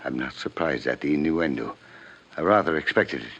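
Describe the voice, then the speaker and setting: Casting: guilt-ridden upper-class older gentleman, very low bass, formal and stiff; vintage radio drama, muffled sound. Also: heavily acted. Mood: weary, anxious. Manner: formal, measured diction. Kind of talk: 1950s radio drama acting.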